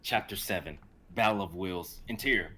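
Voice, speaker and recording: Low-voiced young man narrating a fantasy script. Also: normal speed, pushed and bright, breathy edge. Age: young adult. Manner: reads stage directions, stumbling over phrases. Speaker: narrator and reader. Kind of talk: dramatic reading of a fantasy screenplay.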